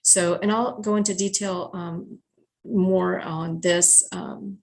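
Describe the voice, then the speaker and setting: A woman's voice: lowish voice, steady tone, slow, vocal fry. A native English-speaking woman giving an informal talk on religious teachings, working through a numbered list in a conversational way with frequent fillers. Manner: conversational, many fillers, enumerating points. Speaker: speaker explaining Quran teachings.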